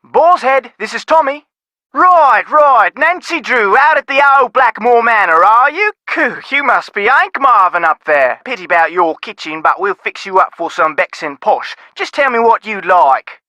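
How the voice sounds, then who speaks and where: A man's voice: high-pitched, lively intonation, moderate pace, pushed and bright, no echo. A man playing a chirpy, chatty Cockney pub barman in a video game, full of rhyming slang. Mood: chirpy, helpful. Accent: Cockney London. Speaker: pub worker taking phone orders.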